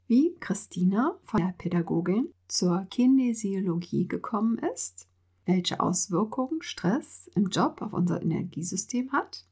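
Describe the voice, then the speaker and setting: Woman podcast host, cheerful with frequent laughter, airy breathy tone. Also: mid-range voice, warm tone. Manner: upbeat, laughs often. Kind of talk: podcast interview hosting.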